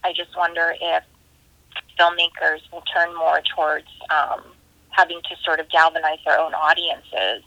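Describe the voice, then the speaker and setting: A woman's voice: deep voice, fairly even intonation, normal speed, gravelly, sharp tone, no echo, dull audio. A woman filmmaker giving thoughtful, conversational answers in a casual interview. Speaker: independent filmmaker being interviewed.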